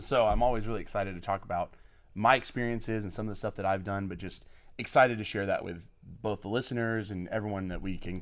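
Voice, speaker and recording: A man from Oklahoma, a guest on a podcast, telling his life story with enthusiasm and plenty of fillers. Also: on the low side. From Oklahoma, USA. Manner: enthusiastic, effusive, many fillers. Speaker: podcast guest, college program lead. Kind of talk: podcast interview, personal storytelling.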